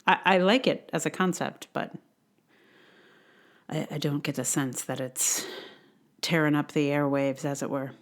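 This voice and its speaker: A woman's voice: deep voice, steady tone, unhurried, pauses often. A woman hosting a podcast, speaking in a conversational, wry way with emphatic stresses. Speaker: podcast host and blogger. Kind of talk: casual intro chat and read-aloud blog.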